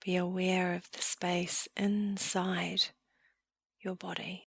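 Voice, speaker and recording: Woman doing guided meditation, lowish voice, really dry close sound, calm n gentle. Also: creaky, breathy edge, no room sound. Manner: calm, gentle, unhurried phrasing with soft trailing endings. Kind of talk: guided meditation, slow soothing narration.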